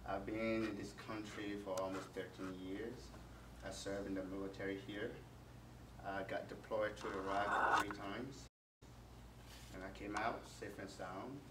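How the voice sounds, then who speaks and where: A man's voice: on the low side, steady tone, unhurried. A man from Ghana, introducing himself earnestly as a talk show panelist. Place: studio. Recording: roomy, distant mic, noisy.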